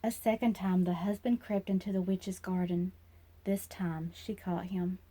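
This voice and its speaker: A woman's voice: lowish voice, steady tone, measured pace, dark tone. A woman narrating a children's fairy tale as a storyteller, reading aloud expressively.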